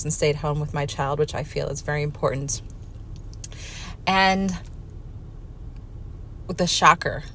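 Middle aged lady w a low voice just talking candidly about herself, kinda self-deprecating, some noise in the background. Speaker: speaker giving a personal talk on faith and family life. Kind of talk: candid personal talk, conversational monologue.

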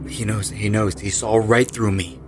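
A man's voice: fairly low pitch, little pitch movement, normal speed, noisy background, natural voice. A man playing an anxious, obsessed rock musician turned killer in an audio horror drama, intense and desperate. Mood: agitated.

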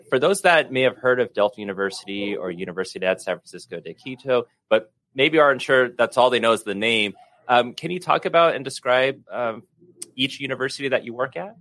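A man's voice: medium pitch, animated, brisk, a bit bright, dry recording. A man hosting a podcast, interviewing a guest. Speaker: podcast host.

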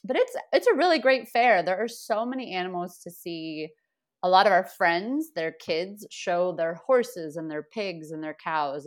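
A chatty woman in her thirties with a lowish voice, talking casually and laughing easily between thoughts. She sounds relaxed and conversational as she tells stories. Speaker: podcast guest. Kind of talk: casual chat.

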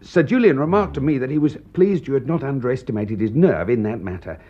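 An actor playing a loyal, deferential English manservant who speaks formally, precisely and dryly in a classic radio drama. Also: male voice, mid-range voice, animated, fairly quick, warm tone, husky, highs cut off. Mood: respectful, measured.

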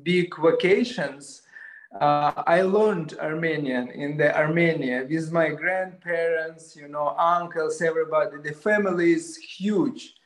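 Roomy-sounding man. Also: on the high side. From Ukraine. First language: Russian. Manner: halting, searching for words with frequent fillers. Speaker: guest. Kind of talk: conversational interview. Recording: roomy echo.

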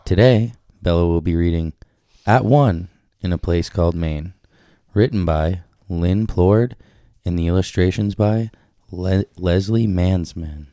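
Deep, mellow man announcing. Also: unhurried, no echo, studio quality. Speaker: announcer introducing the reader. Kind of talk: scripted introduction.

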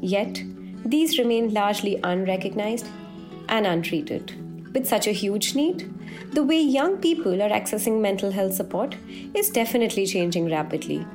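A woman hosting a podcast, reading a measured, explanatory script. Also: mid-range voice, over music. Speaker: podcast host.

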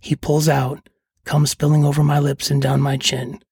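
Husky, gravelly middle-aged male storyteller. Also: on the high side, monotone, moderate pace, darkish tone, no room sound, studio quality. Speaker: podcast host and storyteller. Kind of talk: scripted first-person narration. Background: faint music.

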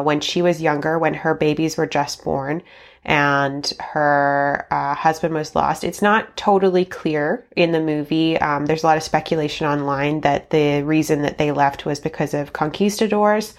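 A woman in her thirties with a cold, sounding nasal and scratchy, chatting casually as a podcast host with plenty of ums and likes. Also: sits low. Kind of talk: casual solo podcast talk.

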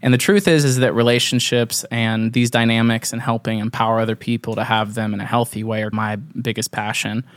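A man's voice: medium pitch, flat delivery, brisk, few pauses, no room sound, studio quality. Earnest, rambling podcast host, man. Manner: earnest, rambling questions with many fillers. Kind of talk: casual interview chat.

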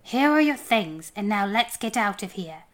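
A woman's voice: medium pitch, lively intonation, normal speed, close to an everyday voice. A woman voicing a tiny, spidery male homunculus, a haughty and bossy schemer who hisses and scolds, in a children's storybook reading. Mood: brisk. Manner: hissing, scolding, imperious.

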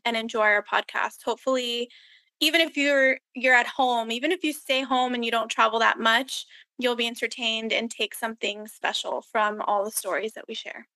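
A woman's voice: a little high, steady tone, moderate pace, pushed and bright, dry recording, low-quality recording. An American woman talking casually as a podcast co-host. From Miami, USA.

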